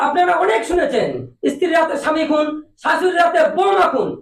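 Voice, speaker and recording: An excitable cartoon news anchor, extremely high in pitch, blaring out melodramatic parody bulletins. The voice is echoey and sits away from the mic. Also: male voice, fast, far from the mic. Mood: sensational, excited. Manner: shouty, melodramatic announcing. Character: loud sensationalist male TV news anchor, excitable and indignant. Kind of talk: parody breaking-news delivery in a comedy cartoon. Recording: roomy echo, faint noise, off-mic.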